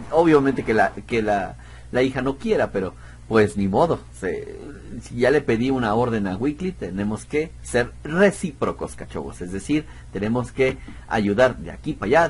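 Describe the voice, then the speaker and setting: A man's voice: mid-range voice, sing-song, moderate pace, slight background noise. A man giving casual let's play commentary, musing aloud in a chatty, self-deprecating way. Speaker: gameplay streamer.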